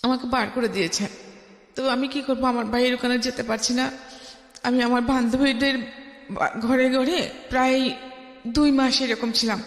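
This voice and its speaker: A woman's voice: sits high, steady tone, normal speed, long pauses. A middle-aged woman with a Bangladeshi accent tells her life story as a radio interview guest, emphatically, acting out remembered dialogue. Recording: echoey room with long reverb.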